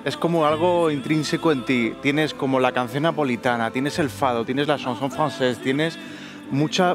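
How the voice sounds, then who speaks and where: A middle-aged man coaching on a TV talent show, giving earnest, emotional spoken praise in a large studio. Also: mid-range voice. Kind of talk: casual spoken feedback. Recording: studio with music and audience.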